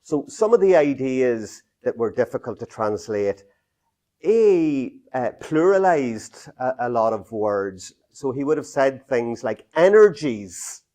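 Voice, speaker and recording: A middle-aged Irish man speaks slowly to a small audience, pausing often and circling back with fillers and restarts. His voice sits at an ordinary middle pitch. He talks in a small indoor meeting room, picked up by a camera set some way off. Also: sing-song, warm tone. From Ireland. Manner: halting, many fillers and restarts, gestures a lot while talking. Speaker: speaker giving a talk. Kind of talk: unscripted public talk.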